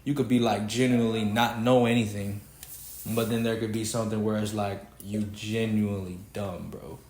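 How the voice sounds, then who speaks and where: A man's voice: lowish voice, flat delivery, moderate pace, darkish tone. Preachy young Texan man in an echoey room. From Houston, Texas. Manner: preachy, emphatic, swearing freely. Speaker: podcast host. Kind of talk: casual podcast rant.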